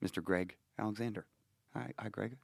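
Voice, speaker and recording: A man's voice: fairly low pitch, a little fast, creaky, slightly rough, no echo, studio quality. A man hosting a podcast in casual chat, a bit nasal from a cold, rambling and restarting his sentences with jokes. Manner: rambling, self-interrupting, joking. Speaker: podcast host.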